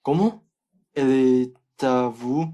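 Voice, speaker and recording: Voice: mid-range voice, warm tone, close to an everyday voice. A young performer playing a theatrical older aristocrat in a French farce made as a radio play, melodramatic and declamatory. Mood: dry. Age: young adult. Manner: melodramatic, declamatory, slow delivery. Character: theatrical older male aristocrat claiming a long-lost son.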